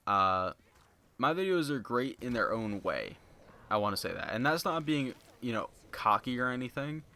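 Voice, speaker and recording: A young man talking casually to his viewers in a flat, monotone voice, rambling with lots of fillers into a basic home microphone. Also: medium pitch, moderate pace. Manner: rambling, filler-heavy, many "you know"s. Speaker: gaming YouTuber. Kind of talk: casual unscripted commentary to viewers. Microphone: basic low-end home mic.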